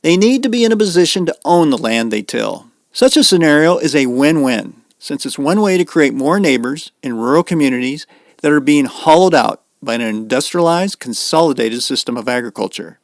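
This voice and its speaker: American guy reading a podcast intro, kinda higher voice, dry close sound. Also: animated, normal speed, no room sound. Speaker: podcast host and editor. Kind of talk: scripted narration.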